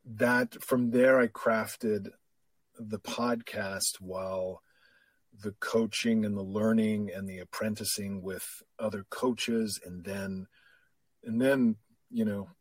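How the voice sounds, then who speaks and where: A middle-aged man, a coach and podcast guest, talking candidly and reflectively in a relaxed conversation. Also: fairly low pitch, slow pace, slightly rough, dry recording. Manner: reflective, candid, self-deprecating. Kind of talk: casual conversation.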